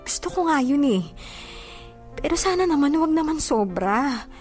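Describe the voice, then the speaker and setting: A woman's voice: high voice, sing-song, normal speed, soft background music. An actress playing a hurt, jealous young girlfriend in a radio drama, sulky, exasperated and insistent in her reproaches. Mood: hurt, pleading.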